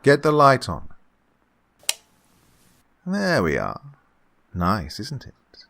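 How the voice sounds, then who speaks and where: A man's voice: medium pitch, wildly swooping pitch, drawn-out words, gravelly, fairly bright tone, heavily acted. A man playing a falsely genial, sinister employer in a radio suspense drama, over-courteous, unctuous and insistent. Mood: insistent, coaxing. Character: falsely genial, sinister male employer luring a young woman. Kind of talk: old-time radio suspense drama acting.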